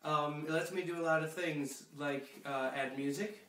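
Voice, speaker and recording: A man's voice: fairly high pitch, fairly even intonation, normal speed, bright, forceful tone, far from the mic. Young man, sniffly cold, chatty and rambling; echoey room, set back from the mic. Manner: rambling, chatty, many fillers and restarts. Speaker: pen pal video blogger. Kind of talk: casual video letter to a friend. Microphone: phone camera.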